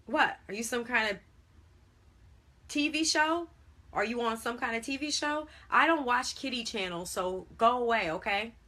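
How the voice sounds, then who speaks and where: Angry, defiant big guy for story narration played by a woman, dismissive and slangy, bright forceful delivery. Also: medium pitch, lively intonation, a little slow. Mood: irritated, dismissive. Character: angry, posturing big man, irritated and defiant.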